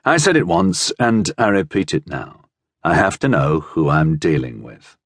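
A man's voice: low voice, big pitch swings, a little slow, no echo, studio quality, pitched below the performer's own voice, full character voice. A man voicing a proud, blunt sellsword of minor nobility in an audiobook adventure. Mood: weary refusal. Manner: blunt, scornful, firm.

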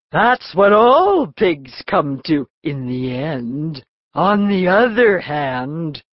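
A woman's voice: lowish voice, dry recording, muffled recording. A woman playing a snooty, irritable milk cow in a children's audiobook, pompous and condescending, drawling her words. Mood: condescending, smug. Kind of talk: full-cast children's audiobook acting.

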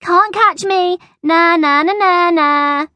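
A woman's voice: extremely high pitch, unhurried, fairly bright tone, no echo, pitched above the performer's own voice. A woman voicing a weedy, sly, cowardly schoolboy with a thin voice in a children's story. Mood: jeering.

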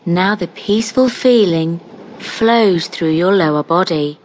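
Female guided relaxation narrator: lowish voice, very slow delivery, calm and soothing, hypnotic scripted read with roomy pauses between phrases. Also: slight background noise.

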